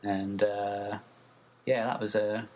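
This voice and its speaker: A man's voice: lowish voice, flat delivery, slow, darkish tone, muffled recording. A man from the UK hosting a podcast interview, easygoing and encouraging. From United Kingdom. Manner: easygoing, encouraging, laughs along. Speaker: podcast host.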